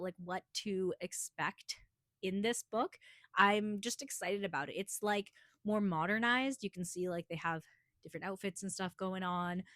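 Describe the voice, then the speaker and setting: A woman with a hoarse voice from a cold, giving a chatty, rambling book review with lots of filler words. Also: mid-range voice, dry recording, clean studio sound. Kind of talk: casual book review vlog.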